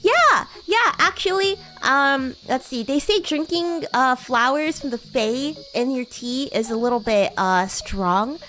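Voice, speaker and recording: A woman's voice: sits high, animated, a little slow, few pauses, sharp tone, heavily acted, poor audio. A woman voicing a bratty, sweet young demon girl in casual roleplay chat, with hesitant, girlish delivery. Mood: chatty, explaining. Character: bratty, sweet young female demon classmate from another world. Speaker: VTuber streamer.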